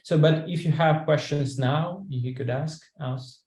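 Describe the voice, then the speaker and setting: A man's voice: fairly high pitch, darkish tone. Stammering man in a roomy-sounding call. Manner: hurried, stammering restarts. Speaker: program organizer. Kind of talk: online info session Q&A.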